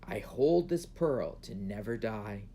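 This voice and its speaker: A man's voice: fairly low pitch, lively intonation, slow, warm tone, a little hiss or hum. A man voicing a proud, smitten and vengeful storybook dragon who speaks and threatens in rhyme.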